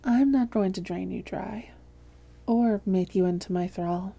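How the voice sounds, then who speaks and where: A woman playing a seductive, domineering vampire posing as a therapist in an intimate roleplay audio, speaking softly and deliberately with frequent whispering. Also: medium pitch. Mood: seductive, gloating. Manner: soft, breathy, often whispering, deliberate. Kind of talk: intimate roleplay audio drama, hypnotic delivery.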